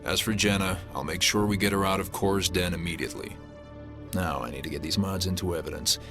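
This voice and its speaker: An actor playing a hard-nosed alien police detective in a video game, brusque and pragmatic, with clipped delivery. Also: male voice, low voice, steady tone, fairly quick, over music. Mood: satisfied.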